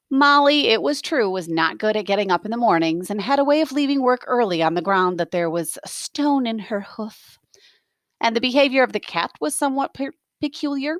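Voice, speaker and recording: A woman's voice: medium pitch, dry recording. Woman teacher reading aloud, dry close sound. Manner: expressive reading with explanatory asides. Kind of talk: scripted narration, reading a novel aloud to students.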